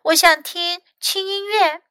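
A tiny little fox boy from a storybook, as a woman puts him on. The voice is squeaky and lifted very high, bright and small. He sounds plaintive and demanding, like a whiny child giving orders. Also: fairly even intonation, a little slow, dry recording, studio quality, full character voice. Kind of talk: bilingual children's storybook voicing.

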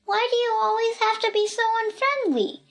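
A woman's voice: high voice, very animated, normal speed, fairly bright tone, faint music behind, exaggerated voice. A woman voicing a plucky, cheeky little girl from a storybook, innocently confident and matter-of-fact.

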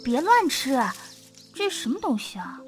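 An affectionate schoolgirl in an audio drama, devoted to her best friend. Her voice is fairly high and swings with animated, earnest expression. Soft music plays underneath. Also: a little slow. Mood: playful, curious. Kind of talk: modern audio drama acting with inner narration.

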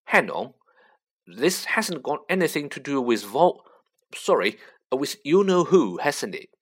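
A woman's voice: sits low, sing-song, unhurried, breathy edge, full character voice. A woman voicing a young boy wizard in a fantasy audiobook, earnest and baffled, with a hesitant, questioning manner. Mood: puzzled. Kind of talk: children's fantasy audiobook character voice.

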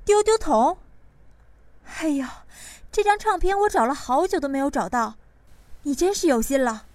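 A woman playing a wealthy middle-aged mother, bossy and brisk but doting, in an audio drama. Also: high-pitched, lively intonation, a little mellow, no room sound. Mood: delighted, warm.

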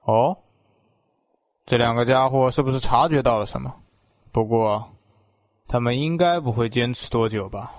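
A man's voice: medium pitch, normal speed, pauses often, no room sound, lightly acted. A man playing a stern, worried middle-aged father in a radio drama, speaking with curt authority. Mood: stern, concerned. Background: music.

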